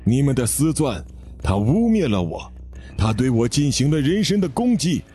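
A man voicing an angry male worker who threatens a drilling crew, shouting and furious. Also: mid-range voice, sing-song, measured pace, slightly rough, soft background music, exaggerated voice.

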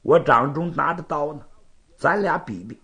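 A man's voice: on the high side, normal speed, bright, forceful tone. A male storyteller voicing a proud, hot-headed young swordsman, brusque and imperious as he challenges a rival. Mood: angry, threatening. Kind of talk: Chinese storytelling performance.

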